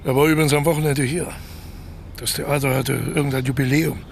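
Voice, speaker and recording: An actor as a creaky-voiced scheming small-time crook. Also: male voice, mid-range voice, steady tone, a little fast, a little hiss or hum, close to an everyday voice. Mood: dismissive, resentful. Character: scheming, embittered ex-convict man plotting a lucrative burglary. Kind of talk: naturalistic German radio play dialogue.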